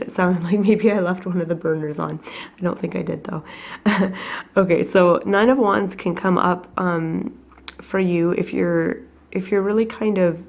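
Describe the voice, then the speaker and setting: Woman tarot reader, husky with a cold, gravelly and lowish, chatty at home. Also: darkish tone. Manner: chatty and reflective, with many fillers. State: has a cold, coughing. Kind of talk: casual weekly tarot reading to camera.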